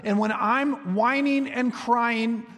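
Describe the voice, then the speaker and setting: A man in his forties preaching a sermon, telling stories with humor and moving between soft, slow passages and quick, lively ones. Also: very high voice. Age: 40s. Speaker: pastor. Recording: long reverb of a large hall.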